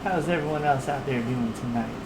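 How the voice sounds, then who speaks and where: A man hosting a livestream with casual, halting, unscripted chat. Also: medium pitch, very noisy. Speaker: livestream host.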